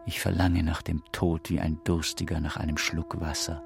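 A man's voice: rumbling low, level tone, normal speed, creaky. An actor playing a despairing, confused king who pleads with God in a theatrical radio play monologue. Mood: weary longing. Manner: theatrical, swinging between whispers and outcries. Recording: music behind the voice. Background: music.

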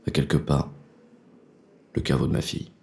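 A man's voice: moderate pace, a little mellow. A man narrating in the first person as a weary, grim inspector in an audio drama. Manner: weary, grim inner monologue.

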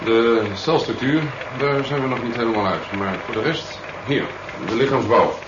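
Keen, pedantic scientist, played by a male actor: medium pitch, careful explanations, roomy vintage radio serial. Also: normal speed, lightly acted. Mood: eager, careful. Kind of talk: 1970s Dutch radio drama acting. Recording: roomy, dull, noisy old radio recording.

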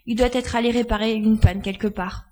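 A helpful young girl, acted by a woman, speaks in a high voice. Her delivery is plain-spoken, as in an audio drama. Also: steady tone, brisk, darkish tone, lightly acted. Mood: matter-of-fact. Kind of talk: French audio drama acting.

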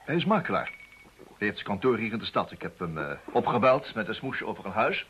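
An actor playing a self-assured, urbane private detective in a radio play, with a teasing, wry manner. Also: male voice, lowish voice, big pitch swings, fairly bright tone. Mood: reporting. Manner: urbane, teasing, hesitant little pauses. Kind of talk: Dutch radio play acting. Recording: muffled, faintly noisy old radio recording.

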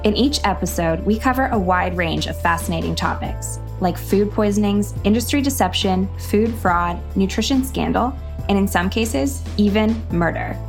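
A woman's voice: medium pitch, normal speed, faint music behind. A woman co-host reading a scripted podcast promo.

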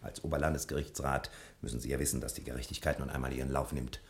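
An actor playing a brisk, exasperated detective in a 1960 German radio crime drama, speaking in an emphatic, clipped way. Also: male voice, rumbling low, steady tone, vocal fry. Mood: formal, triumphant.

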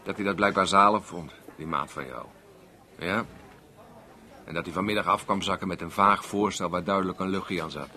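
Bitter unemployed carpenter in a radio drama, gruff n combative, low sharp voice. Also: male voice, normal speed. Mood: gruff, defensive. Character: cynical, bitter unemployed working-class carpenter, man. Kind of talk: naturalistic Dutch radio play acting.

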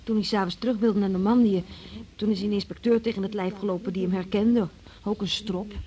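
A woman's voice: mid-range voice, steady tone, fairly quick, mellow, a little hiss or hum, lightly acted. An actress playing an evasive, naive nineteen-year-old woman being questioned in a radio play, defensive and artless. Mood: artless, candid. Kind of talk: Dutch-language radio play acting.

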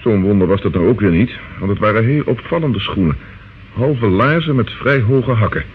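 Man playing calm firm police detective in old radio play, matter-of-fact, middle voice, dull recording w/ faint hiss. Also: lowish voice, moderate pace, close to an everyday voice. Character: level-headed, methodical male London police detective, firm interrogator.

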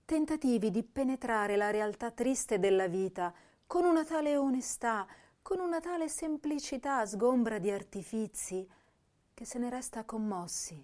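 A woman's voice: a little high, normal speed, natural voice. An actress playing an intense, troubled young woman poet of 1930s Italy, reading diaries and verse expressively in a radio drama. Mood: tender, reflective. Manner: expressive, introspective.

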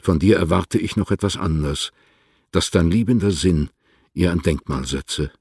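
An older poet reading his letters in a radio play. The voice is very low and creaky, with a husky grain. He is courtly, formal and reserved, and the sound is dry and close. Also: darkish tone, no echo. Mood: measured, reflective. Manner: formal, deliberate, measured.

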